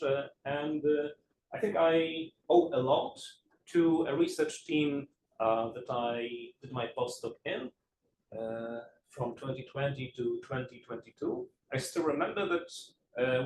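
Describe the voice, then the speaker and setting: A man in his thirties from Poland, a native Polish speaker, giving an academic talk in a measured, hesitant way with many fillers. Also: medium pitch, a little hiss or hum. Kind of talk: academic lecture. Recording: echoey room, mic at a distance.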